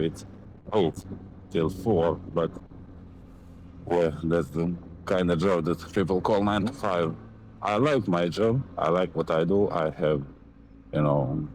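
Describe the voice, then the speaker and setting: A man's voice: deep voice, noisy background. A young man from Montenegro with a Montenegrin accent, rambling and swearing casually as he rides a motorcycle, talking into a helmet mic. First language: Serbian. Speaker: motovlogger. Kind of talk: casual riding commentary. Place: riding a motorcycle in city traffic.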